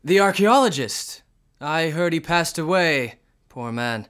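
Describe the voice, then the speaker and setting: An actor playing an aloof, dreamy young bohemian painter in a radio drama, speaking in lofty, precise, philosophical phrases. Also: male voice, on the high side, expressive, unhurried, fairly bright tone, clear, resonant tone. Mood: polite, distracted.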